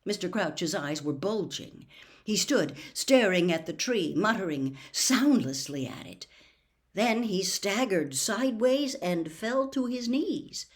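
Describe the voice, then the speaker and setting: A woman's voice: mid-range voice, lively intonation, slow pace. A woman reading a novel aloud as scripted storybook narration. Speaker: audiobook reader.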